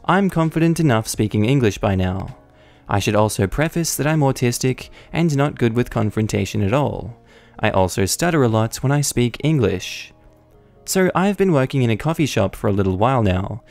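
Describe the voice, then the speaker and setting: Man calmly reading forum stories. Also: mid-range voice. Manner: even, unhurried reading with wry asides. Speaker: story narrator.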